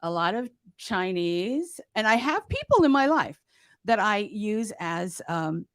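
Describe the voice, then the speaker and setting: A woman's voice: mid-range voice, big pitch swings, unhurried, no echo, clean studio sound. A woman stage actor on a panel talking about her roles, searching for words at times and laughing easily. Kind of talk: casual panel discussion.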